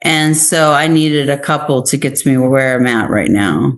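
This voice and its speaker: Woman, American accent, low register, earnest and emphatic interview guest. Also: moderate pace. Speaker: interview guest, actress and author. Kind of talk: conversational interview answers.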